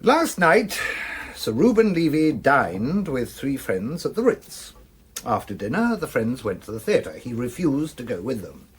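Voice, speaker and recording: An actor playing an earnest, methodical police detective with an English accent, reporting facts briskly in a radio drama. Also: male voice, medium pitch, wildly swooping pitch, slight background noise. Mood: puzzled, intent. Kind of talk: BBC radio drama acting.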